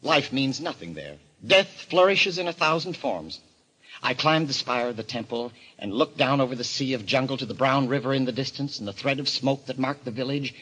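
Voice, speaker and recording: An actor playing an arrogant, obsessed explorer in an old-time radio mystery, speaking in a grandiose, theatrical way. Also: male voice, mid-range voice, lively intonation, moderate pace, a bit bright, breathy edge, rough recording. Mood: brooding, fervent. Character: obsessed, arrogant male explorer enthralled by a cult of destruction.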